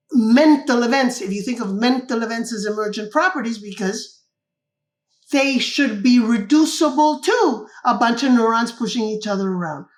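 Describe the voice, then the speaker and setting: An older woman from Cuba, a guest author explaining ideas in an interview and often checking in with "correct?". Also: a little high.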